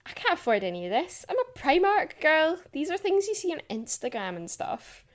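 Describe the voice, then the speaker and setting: A woman's voice: sits high, sing-song, moderate pace. A woman playing a naive, grateful young hitchhiker in an audio drama, earnest and girlish. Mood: awed, flustered.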